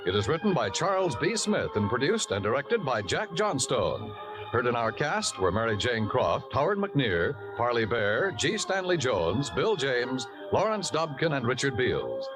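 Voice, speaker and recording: American guy doing old radio announcing, very animated, music behind him. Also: medium pitch, measured pace.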